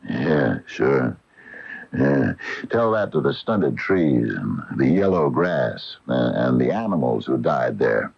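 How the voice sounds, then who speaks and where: Deep-voiced indignant small-town man. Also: big pitch swings, slow pace, gravelly, slightly rough, full character voice. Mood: bitter, sarcastic. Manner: emphatic, folksy phrasing. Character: indignant, plain-spoken small-town man, righteous and stubborn. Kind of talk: 1980s radio mystery drama acting. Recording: muffled, noisy 1980 AM radio broadcast.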